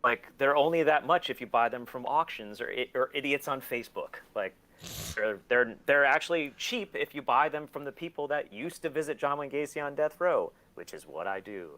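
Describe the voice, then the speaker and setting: A man's voice: medium pitch, lively intonation, normal speed, bright, forceful tone. A man chatting casually as an interview guest, candid, profane and self-deprecating, telling rambling stories and acting out quotes. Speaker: guest, collector.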